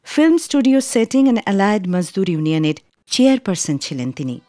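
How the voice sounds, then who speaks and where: A woman hosting a radio show, reading a scripted narration in an expressive, storytelling way. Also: medium pitch, fast talker, no echo. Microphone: close studio microphone. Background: soft music.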